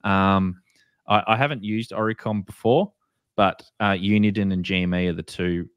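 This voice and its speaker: A man's voice: deep voice, fairly even intonation, normal speed, clear, resonant tone, no room sound, highs cut off. A man with an Australian or New Zealand accent, chatting informally as a show host while explaining products to beginners. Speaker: co-host of a radio hobby show. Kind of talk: casual product walkthrough for beginners.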